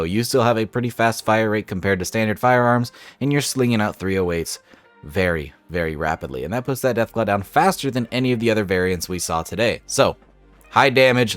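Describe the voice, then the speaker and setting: Upbeat young man with a stuffy cold. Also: fairly low pitch. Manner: upbeat, explains step by step. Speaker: gaming channel host. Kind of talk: casual game mod showcase voice-over.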